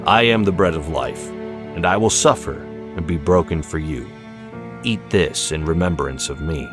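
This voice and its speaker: Audiobook role: male narrator as a grave, compassionate spiritual teacher, deep-voiced, measured, with music underneath. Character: solemn, compassionate male religious leader at a holiday feast. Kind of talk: dramatic audiobook character voice. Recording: music behind the voice.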